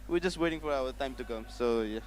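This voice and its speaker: A man's voice: medium pitch, brisk, a little hiss or hum. A young man whose first language is Nepali, hesitantly answering interview questions in English. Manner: hesitant, filler-heavy. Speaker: esports player. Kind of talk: post-match interview answers.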